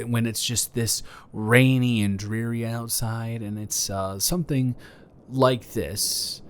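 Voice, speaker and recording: A man's voice: mid-range voice, slight background noise. A man improvising a flirty, awkward neighbor in a romantic roleplay audio, stammering and earnest as he talks. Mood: surprised, flirty.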